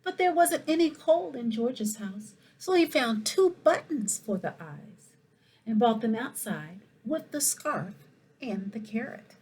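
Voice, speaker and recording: A woman reading a children's story aloud in an expressive, animated way, with asides to her young listeners. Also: fairly high pitch, unhurried, husky, low-quality recording. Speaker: school principal reading a picture book aloud.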